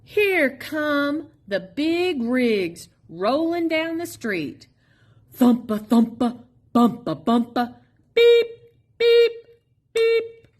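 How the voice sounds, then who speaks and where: A woman reading a children's picture book aloud expressively, in a sing-song, drawn-out way with playful emphasis on sound words. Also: high voice, very animated, darkish tone. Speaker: storybook reader at a public library.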